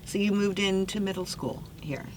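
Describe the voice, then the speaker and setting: A woman's voice: medium pitch, normal speed, slight background noise. A woman hosting a podcast interview, an engaged listener who gives many short affirmations and asks follow-up questions. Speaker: podcast host. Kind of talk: casual interview chat.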